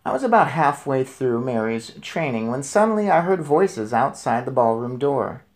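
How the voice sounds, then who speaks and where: A man's voice: mid-range voice, lively intonation, moderate pace. A man reading aloud and chatting enthusiastically as host of a children's read-aloud. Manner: chatty and enthusiastic, putting questions to young listeners. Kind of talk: storybook read-aloud with casual commentary.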